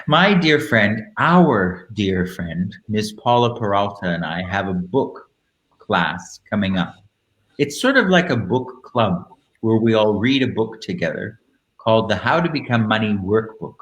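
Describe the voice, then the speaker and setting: A man hosting a live online show in a camp, theatrical manner, moving between casual chat and dramatic reading with deliberate phrasing. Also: lowish voice, sing-song, fairly bright tone, dull audio. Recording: roomy, echoing live-stream sound.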